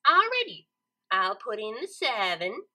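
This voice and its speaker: A woman's voice: fairly high pitch, huge pitch swings, unhurried, sharp tone, heavily acted. A woman voicing a hyperactive, bubbly young female cartoon pony, chirpy and childlike in the way she gushes. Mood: eager. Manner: chirpy, gushing, childlike, exclaiming. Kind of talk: cartoon fan fiction character reading.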